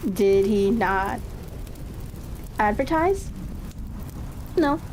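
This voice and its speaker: Female ASMR story reader, warm and relaxed tone, unhurried halting delivery, light crackling ambience underneath. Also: medium pitch. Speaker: audiobook reader. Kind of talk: calm ASMR story reading. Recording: steady rain-like crackling ambience behind voice. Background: fireplace crackle and ambient noise.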